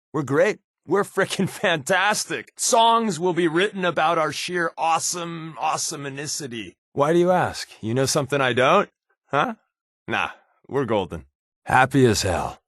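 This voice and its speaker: A wisecracking undercover spy from a video game. He is an American man with a mid-pitched voice that swings freely up and down. His talk is glib, casual and sardonic, recorded close and dry. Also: fairly bright tone, no echo. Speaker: video game companion character. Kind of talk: video game voice acting, conversational dialogue.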